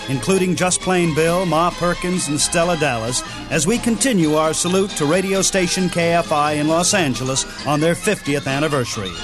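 Higher-pitched male radio presenter over music. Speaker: radio program host and presenter. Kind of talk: scripted radio introduction.

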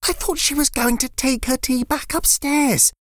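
A woman voicing a young girl who thinks fast and talks excitedly. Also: sits high, no room sound, pitched above the performer's own voice, close to an everyday voice. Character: quick-thinking little girl.

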